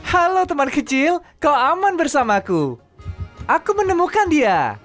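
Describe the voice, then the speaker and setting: An actor voicing a cheerful, eager young talking train engine in a children's cartoon dub, playful and expressive. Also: high-pitched, a little slow. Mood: friendly, happy. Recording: background music under the voice.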